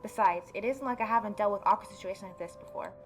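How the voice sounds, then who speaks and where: A woman's voice: mid-range voice, quick pace. A woman reads a visual novel aloud as a let's play host. She slips between narration and informal, reactive commentary. Light music plays underneath. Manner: chatty, reactive, informal asides.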